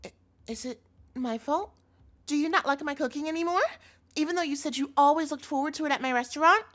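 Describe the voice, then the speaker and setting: Woman acting a high-pitched, sweetly possessive yandere girlfriend. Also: brisk, a bit bright. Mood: hurt, probing. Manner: coaxing, cloying, pleading. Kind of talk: scripted audio roleplay.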